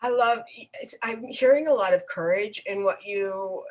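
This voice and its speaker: A woman interviewing a guest in a live online conversation, speaking haltingly with many pauses and restarts and warm encouragement, heard over a video call. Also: medium pitch. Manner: effusive and encouraging. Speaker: interviewer for a nonprofit network.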